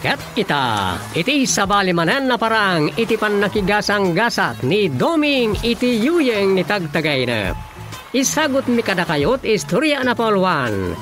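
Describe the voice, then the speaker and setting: Filipino male announcer for radio drama: high-pitched, unhurried, theatrical, over loud theme music. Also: big pitch swings.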